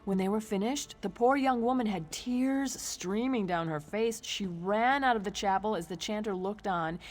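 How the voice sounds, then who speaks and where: An actress playing a nosy, insinuating town gossip in a fantasy game, coy and conspiratorial. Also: female voice, medium pitch, natural voice. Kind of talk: game dialogue acting.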